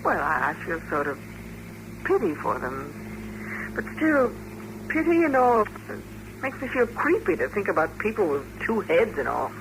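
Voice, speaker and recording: An American actress playing a young chorus dancer who is easily enthralled, in a 1950s radio drama. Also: female voice, mid-range voice, very animated, unhurried. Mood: uneasy, disdainful. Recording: noisy vintage radio broadcast recording.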